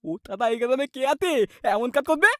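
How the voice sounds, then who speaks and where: High-pitched lisping cartoon husband. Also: female voice, expressive, brisk, background noise. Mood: indignant. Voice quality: lisping, t sounds for k. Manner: stammering, blustering. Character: indignant village husband with baby-talk lisp. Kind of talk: exaggerated cartoon dialogue.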